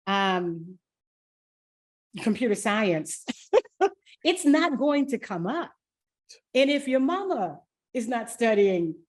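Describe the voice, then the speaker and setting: A woman hosting a podcast interview in a conversational, emphatic and expressive way. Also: medium pitch. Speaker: podcast host.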